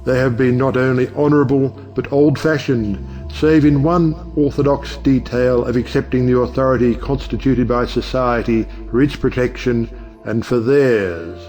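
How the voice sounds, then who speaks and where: A man acts out an eloquent stage-play judge with lofty ideals. His delivery is oratorical and grand, in a mid-pitched male voice over faint music. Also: moderate pace, a little mellow, lightly acted. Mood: impassioned. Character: eloquent, high-minded male judge defending lovers.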